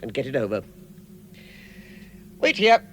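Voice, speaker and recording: Fussy, animated hobbit. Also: male voice, medium pitch, measured pace. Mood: indignant, resolute. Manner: flustered, indignant, polite, hesitant. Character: fussy, nervous yet plucky middle-aged male hobbit. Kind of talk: vintage radio drama. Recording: faintly noisy old radio recording.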